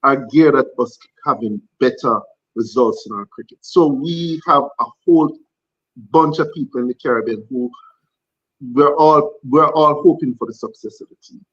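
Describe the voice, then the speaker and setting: A man on a cricket panel, measured and earnest, stressing the same point several times. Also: mid-range voice, fairly bright tone, slightly rough, no room sound, highs cut off. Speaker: cricket pundit. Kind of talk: panel discussion.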